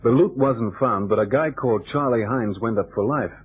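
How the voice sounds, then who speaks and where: An actor playing a tough, quick-witted private detective who talks in clipped slang, in old-time radio drama style. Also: male voice, medium pitch, husky. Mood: amused, explaining. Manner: clipped tough-guy slang, calls partner baby. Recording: dull, noisy vintage radio recording with hum.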